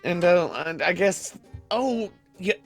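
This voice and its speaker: A man's voice: sits high, slow pace, faint music behind. A man playing a bookish, fussy wasteland hermit in an improvised game roleplay, hesitant and folksy, rambling and repeating himself. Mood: helpful, wary.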